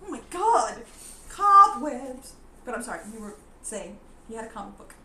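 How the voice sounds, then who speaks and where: A woman's voice: on the high side, very animated, moderate pace, sharp tone, recorded from a distance. A woman chatting casually as co-host, telling stories animatedly, acting out her own reactions and laughing often. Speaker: co-host of a live stream. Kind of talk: casual chat. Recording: echoey room, somewhat off-mic, faint noise.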